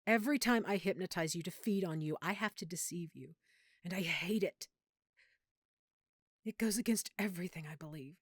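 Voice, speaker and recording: A woman playing a lovesick, guilt-ridden vampire girlfriend in an intimate audio roleplay, speaking tenderly and confidingly with dramatic pauses. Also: fairly low pitch, husky, no room sound, studio quality. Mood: guilty, tender. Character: lovesick, guilt-ridden female vampire hiding her nature from boyfriend.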